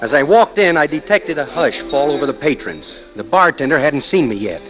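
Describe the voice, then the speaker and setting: An actor playing a hapless, lovesick amateur detective, wry and flustered, in 1940s radio style. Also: male voice, a little high, very animated, fairly quick. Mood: wry narrating. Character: hapless, lovesick amateur detective narrating his own misadventures. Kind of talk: 1940s radio acting and narration. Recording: muffled old radio recording with music.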